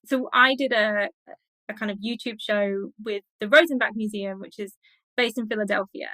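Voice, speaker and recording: A woman's voice: mid-range voice, moderate pace, pushed and bright, no echo, low-quality recording. A woman speaking as an expert guest in a conversational interview, explaining her thoughts with enthusiasm.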